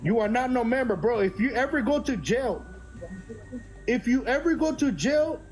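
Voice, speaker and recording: A man's voice: very high-pitched, expressive, moderate pace, a little mellow, soft background music. A man giving a blunt, insistent street-slang lecture, repeating himself. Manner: insistent, repetitive.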